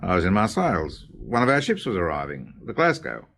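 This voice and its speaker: Low voice, lively pitch, stiff and uneasy, defensive businessman, radio drama. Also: a little fast, creaky, exaggerated voice.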